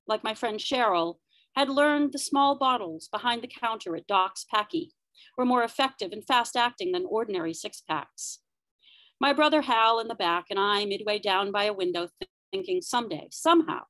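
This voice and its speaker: A woman's voice: on the high side, fairly bright tone, no echo, low-quality recording. A woman reading her narrative poetry aloud at an online open mic.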